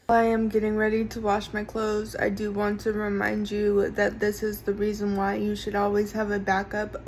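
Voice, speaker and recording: Woman narrating a day-in-the-life vlog. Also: medium pitch. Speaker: vlogger.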